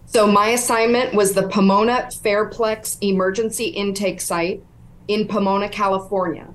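A woman's voice: medium pitch, fairly even intonation, moderate pace. A middle-aged woman, a guest on an interview podcast, telling her story in an earnest, detailed way. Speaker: whistleblower guest.

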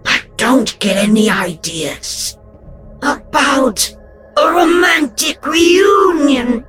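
A woman's voice: sits high, lively intonation, a bit bright, faint music behind, full character voice. A woman voicing a menacing, vengeful ghost. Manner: cold, menacing, slow and drawn out.

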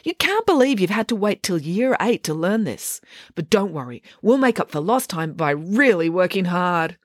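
A woman voicing a brisk, eccentric scientist mother who breezily talks over everyone in a storybook reading. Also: fairly low pitch, sing-song, no room sound, studio quality, pitched above the performer's own voice. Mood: breezy.

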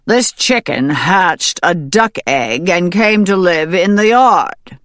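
A woman voicing a pompous male rooster who heads the barn, slow and announcing. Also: on the low side, animated, dry recording, studio quality, pitched above the performer's own voice.

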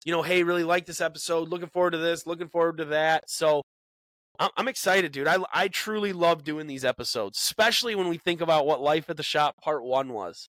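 A chatty, upbeat man hosts a casual podcast, his voice stuffed up from a cold. His tone is bright and forceful, and the sound is dry and close, with no room echo. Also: fairly high pitch, a little fast. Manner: upbeat, chatty, repeats words as he talks. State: congested from a cold. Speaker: podcast co-host, bait shop owner. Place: small studio in a bait shop.

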